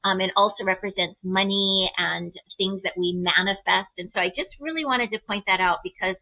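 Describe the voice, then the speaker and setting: A woman speaking as an astrologer, explaining a chart reading conversationally in a gentle, encouraging way with simple analogies. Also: medium pitch, normal speed, narrow, tinny audio.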